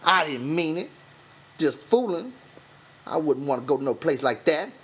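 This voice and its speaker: A man's voice: fairly high pitch, big pitch swings, normal speed, a bit bright, a little hiss or hum, pitched above the performer's own voice. A man voicing a withdrawn, proud, bitter stable hand in an audiobook, with defensive, rural vernacular speech. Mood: guarded. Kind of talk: audiobook character voice.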